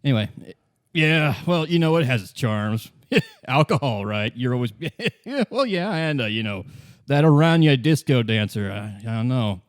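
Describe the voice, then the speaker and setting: A man voicing a crude, boastful mercenary soldier chatting over the radio, halting and prone to chuckling. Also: medium pitch, expressive, dry recording, clean studio sound, close to an everyday voice. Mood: leering, chuckling. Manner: halting, chuckling, rambling drawl. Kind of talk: tabletop roleplay of an intercepted radio transmission.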